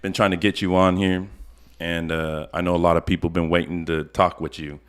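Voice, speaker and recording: A middle-aged man hosting an interview podcast, talking in a relaxed, friendly way full of street slang. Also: low voice, a little fast, clear, resonant tone. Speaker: podcast host. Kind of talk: casual interview chat.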